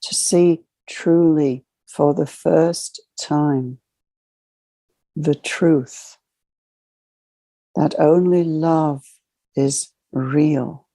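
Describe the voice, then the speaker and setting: A woman guides a spiritual meditation in a mellow voice with a gravelly edge. She speaks in solemn, measured phrases, like a formal ceremonial address. The sound is close and dry. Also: deep voice, steady tone, dry recording. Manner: slow, measured, ceremonial delivery in short phrases.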